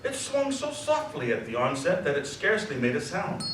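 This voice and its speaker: A man's voice: on the high side, wildly swooping pitch, moderate pace, a bit bright. A man narrating a story for a live radio drama in a theatrical, scripted storytelling style. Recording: echoey room, distant mic, noticeable noise.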